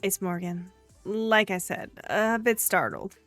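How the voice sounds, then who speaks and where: Visual novel heroine read by a woman: young, guarded, awkward, wry, bright but quiet delivery, dry sound. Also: mid-range voice, animated, takes it slowly, dry recording, faint music behind, pitched below the performer's own voice. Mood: startled, pensive. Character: Morgan, guarded, awkward young woman narrating her story. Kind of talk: first-person visual novel narration.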